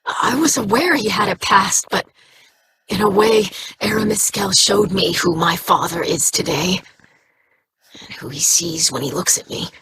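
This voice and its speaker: A woman's voice: mid-range voice, unhurried, noisy background, natural voice. An actress playing an earnest, sincere young alien woman in a video game.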